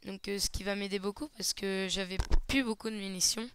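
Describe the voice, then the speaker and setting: A man giving casual, hesitant play-by-play commentary over a shooter match, slightly hoarse, with lots of fillers. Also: high voice, fairly even intonation, a little fast, a bit bright, a little hiss or hum. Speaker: gaming channel host. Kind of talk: gameplay commentary.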